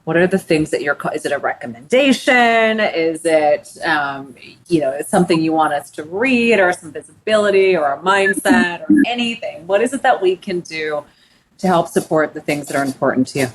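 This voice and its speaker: A woman with a fairly low voice hosts the interview, asking long, winding questions and reacting with enthusiasm. A faint hiss of noise sits under her voice. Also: animated, moderate pace, poor audio. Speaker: podcast host.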